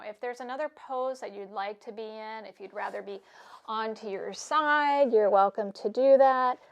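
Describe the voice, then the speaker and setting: A woman yoga instructor giving calm, soothing, unhurried cues for stretching, breathing and meditation. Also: on the high side, vocal fry.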